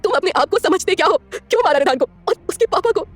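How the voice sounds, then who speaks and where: A woman's voice: sits high, measured pace, a little mellow, dry recording, faint music behind, voice pushed up. A woman playing a tearful, distraught young woman. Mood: tearful, pleading. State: crying.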